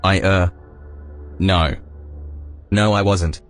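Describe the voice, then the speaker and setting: A man playing an officious security AI in an audio drama, formal, deadpan and bureaucratic. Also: low-pitched, drawn-out words. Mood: hesitant, deadpan. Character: officious, stern male security AI guarding a system. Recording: music under the dialogue.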